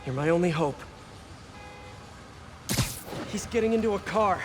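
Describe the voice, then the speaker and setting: Over prominent music, a young American video game vigilante with a fairly high voice and lively, swinging intonation. He is earnest and quippy, talking half to himself as he works. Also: fast talker. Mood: wry, focused. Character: earnest, wisecracking young male masked vigilante hero. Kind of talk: naturalistic video game acting.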